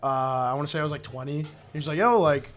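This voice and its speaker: A young man in his twenties talks fast and loose, his sentences tumbling out padded with "like." His voice sits a little higher than most men's. It is an easygoing podcast chat with a friend. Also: a bit bright. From New Jersey. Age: 20s. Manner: rapid, rambling, heavy use of "like". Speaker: podcast guest. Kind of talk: casual chat.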